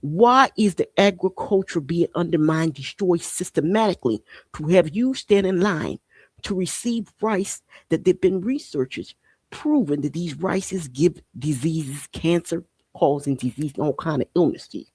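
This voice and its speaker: An impassioned host from Haiti, somewhere in their forties, speaks with emphatic conviction, hammering home points through rhetorical questions and repetition. The voice sits at a middling pitch, and the sound is close and dry with almost no room echo. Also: expressive, moderate pace, darkish tone, breathy edge. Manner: emphatic, rhetorical questions, repeats phrases for effect. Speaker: podcast host. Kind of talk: impassioned podcast monologue.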